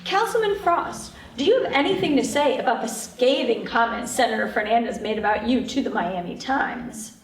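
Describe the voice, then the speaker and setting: A woman's voice: a little high, lively intonation, moderate pace. A woman playing a pushy, prissy television news reporter in her thirties at a table read. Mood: brisk, on-air. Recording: echoey room, distant mic, faint noise.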